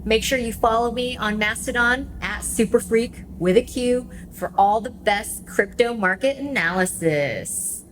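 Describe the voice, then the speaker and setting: An unhurried female voice of an actress plays a self-promoting celebrity guest. She is showy, gushing and a bit catty, in radio-play style. Some ambient noise sits behind her. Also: fairly high pitch, natural voice, rough recording. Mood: gushing, sly.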